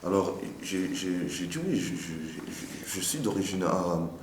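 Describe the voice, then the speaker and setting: A man with a deep voice speaks spontaneously, as if arguing a point in an interview. He repeats words and throws in rhetorical questions. The sound is echoey with faint noise behind him. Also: expressive, a little slow. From France. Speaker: street interviewee. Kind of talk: casual, animated street talk.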